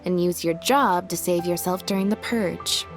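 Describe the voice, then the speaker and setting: A woman's voice: fairly low pitch, moderate pace, over music, natural voice. A woman voicing a cool, blunt young female detective in a video game, composed, analytical and matter-of-fact. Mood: cool, deductive. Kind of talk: dramatic video game voice acting.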